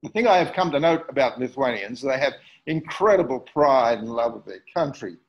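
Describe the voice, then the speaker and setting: Brief: middle-aged Australian man, halting slide talk, muffled lo-fi sound, mid pitch. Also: animated. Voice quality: hesitant, many fillers and repeats. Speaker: community history speaker. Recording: dull lo-fi recording.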